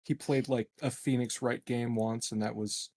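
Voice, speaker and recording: A man's voice: medium pitch, steady tone, normal speed, gravelly, a little mellow, no room sound, clean studio sound. A man chatting casually as a tabletop game player, with a wry, informal way of talking. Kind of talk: casual chat.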